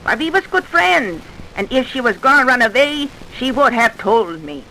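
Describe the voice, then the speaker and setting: A woman's voice: a little high, sing-song, normal speed, bright, forceful tone, heavily acted, rough recording. A woman playing a blunt, suspicious neighbor in a vintage radio crime drama. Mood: suspicious, insistent. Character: suspicious, outspoken female neighbor in a crime case. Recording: hissy old radio recording.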